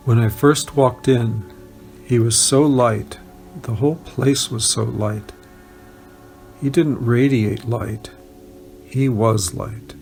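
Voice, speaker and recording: A man reads personal recollections in the first person. His medium-pitched voice has a clear gravelly creak. Soft music runs underneath the narration. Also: steady tone, takes it slowly, long pauses. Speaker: reader of written testimonials.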